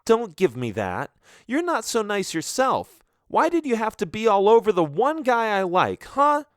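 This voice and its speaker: A man's voice: high-pitched, huge pitch swings, a little slow, no echo, studio quality, voice pushed up, heavily acted. Daphne, a man voicing a defiant teenage girl. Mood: furious. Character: teenage girl, guilty but defiant and hot-tempered.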